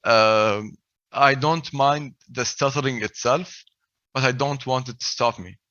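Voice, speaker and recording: A young man with a heavy stutter gives a personal talk and repeats the first sounds of many words. His voice is bright and forceful. The recording is dry and a little dull. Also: medium pitch, a little slow, no echo. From Saudi Arabia. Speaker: speaker at a stuttering event.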